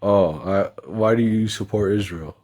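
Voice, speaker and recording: A male caller on a live stream with a deep voice. His tone is low and mellow. He talks in a hesitant way, stringing together "like" and "uh". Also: unhurried. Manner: hesitant, full of "like" and "uh".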